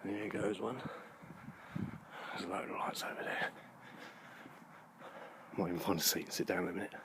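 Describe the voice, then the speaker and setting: A man vlogging casually on a walk outdoors, thinking aloud in a halting, rambling way. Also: deep voice, steady tone. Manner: musing, halting, with unfinished thoughts. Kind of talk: casual rambling monologue while walking. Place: outdoors in a park at night. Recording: noisy outdoor handheld recording.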